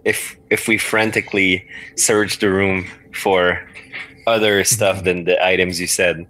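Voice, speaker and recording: Deep-voiced man thinking through a game. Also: flat delivery, a little slow, sharp tone, rough recording. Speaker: podcast guest, game player. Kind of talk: casual improvised game play.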